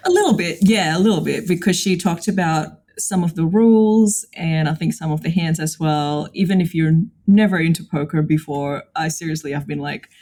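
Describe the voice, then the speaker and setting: Mellow, lower-voiced woman. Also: moderate pace, hardly any pauses. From Indonesia. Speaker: podcast guest, psychologist. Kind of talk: casual interview chat.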